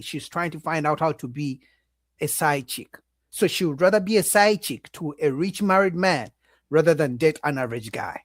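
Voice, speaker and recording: Man giving dry, animated reaction commentary. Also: fairly high pitch, dry recording. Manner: animated, addresses chat directly. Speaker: reaction video host.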